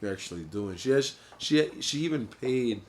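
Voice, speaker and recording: A man with a Honduran family background, telling his story in a laid-back, low-key casual chat. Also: animated, moderate pace, a little hiss or hum. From Honduran family, grew up in the US. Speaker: DJ and producer, guest.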